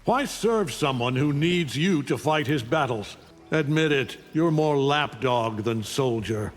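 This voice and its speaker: A man's voice: mid-range voice, animated, measured pace, soft background music, natural voice. Arrogant alien conqueror played by a man, echoing reverb. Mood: taunting. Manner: contemptuous, taunting, coldly commanding. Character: arrogant, menacing alien conqueror, a middle-aged superhuman father. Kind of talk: video game voice acting, fight intro taunts.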